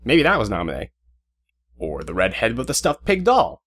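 A man's voice: mid-range voice, wildly swooping pitch, normal speed, fairly bright tone. A man reading scripted narration for an audio drama as the storyteller. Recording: music behind the voice.

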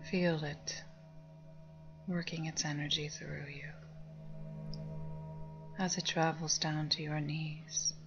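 Female meditation guide, low soft breathy voice, calming near-whisper, slightly muffled lo-fi recording. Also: takes it slowly, pauses often. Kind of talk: guided meditation, slow spoken instructions. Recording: dull lo-fi sound under music. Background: meditation music with singing bowls.

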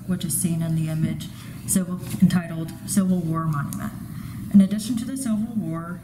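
A young adult woman, a photography student, carefully reading her artist statement with a few small restarts. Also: on the low side. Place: indoor art gallery. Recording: echoey room with steady background noise.

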